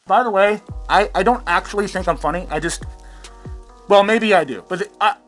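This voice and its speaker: A man's voice: high-pitched, moderate pace, a bit bright, soft background music. Sarcastic, profane rant host man. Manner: sarcastic, rambling, self-amused, swears a lot. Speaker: host of a satirical commentary channel.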